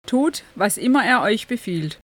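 A woman playing a quietly assured mother in a scripture audio drama. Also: a little high, animated, unhurried, no echo.

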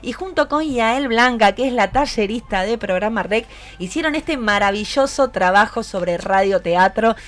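A woman's voice: fairly high pitch, expressive, moderate pace, hardly any pauses. A woman with an Argentine accent hosting a live radio interview, encouraging her guests and asking them questions. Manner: encouraging, asks many questions. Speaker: radio show host.

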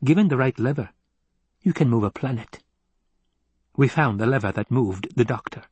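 A man's voice: lively intonation, normal speed, darkish tone, slightly rough, dry recording. A man voicing a clever, supercilious male adviser in an audiobook, laying out schemes in precise, formal speech. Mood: self-satisfied. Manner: precise, formal, higher-pitched exposition. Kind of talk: audiobook character acting.

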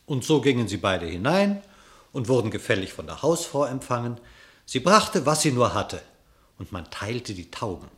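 A man narrating a classical verse radio drama in an expressive, literary storytelling manner. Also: medium pitch, moderate pace.

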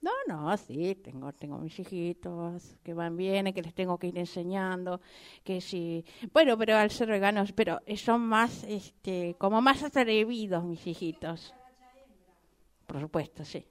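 A woman's voice: lowish voice, unhurried, natural voice. A woman with an Argentine accent voicing a chatty, sentimental mother cockroach in improvised comic radio theatre, repeating herself coyly. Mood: earnest, confiding. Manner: repetitive, coy, hesitant, conversational asides.

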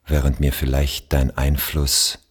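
A man from Austria reading poetry aloud in a measured literary recitation, with long pauses between phrases and a breathy, husky voice. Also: very deep voice, completely flat delivery.

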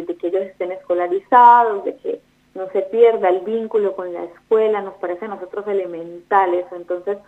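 A young woman, a guest in an interview, speaking thoughtfully with many hesitations and restarts. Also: fairly low pitch. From Colombia. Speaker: guest, foundation volunteer. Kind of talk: interview over the phone. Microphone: phone. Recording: tinny phone line.

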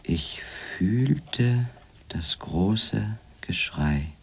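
An actor playing an obsessed, solitary journalist in a radio drama, voicing letters in a halting, grave, brooding manner. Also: male voice, lowish voice, expressive, creaky, slightly rough, full character voice. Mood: brooding, pleading. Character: obsessed, solitary male journalist writing to his wife.

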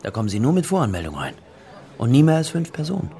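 A man's voice: mid-range voice, wildly swooping pitch, fast talker, dark tone. An actor playing a chatty, melancholy man with an urbane, wry, persuasive manner in a German radio play. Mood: wry, insistent. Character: chatty, melancholy man coaxing a new acquaintance.

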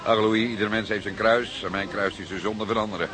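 An actor with a Netherlands Dutch accent playing a seasoned, wry, fatherly older police detective, speaking deliberately and a little ornately, as if lecturing, in a radio drama. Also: fairly low pitch, steady tone, music in the background, lightly acted. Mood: dry. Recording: hissy old radio play recording.

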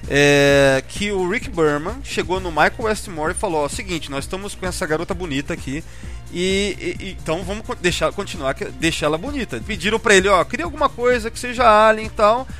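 A man's voice: high-pitched, lively intonation, a little fast, fairly bright tone. A Brazilian man hosting a podcast, casually discussing a TV series and peppering his talk with tag questions. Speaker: podcast host. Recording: music under the voice.

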